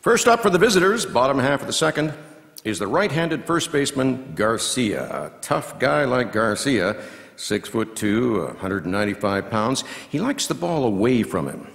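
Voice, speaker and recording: Reverberant hall. Seasoned male baseball commentator performed by a man, folksy, highly animated intonation, measured patter and punchy calls. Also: creaky. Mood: punchy, excited. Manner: measured asides breaking into punchy, drawn-out calls. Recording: long hall reverb from live venue.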